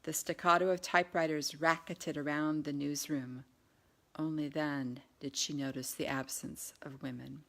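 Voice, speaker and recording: A woman's voice: sits low, steady tone, moderate pace, fairly bright tone. A woman reading her own novel aloud in an audiobook narration style.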